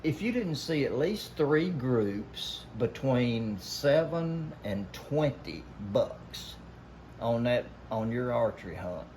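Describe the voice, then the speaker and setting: A man's voice: medium pitch, big pitch swings, slow, darkish tone. Older Southern man spinning stories, noisy recording. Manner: folksy, emphatic, quotes past conversations. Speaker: guest telling hunting stories. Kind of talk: casual storytelling. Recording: noisy, roomy, somewhat distant pickup.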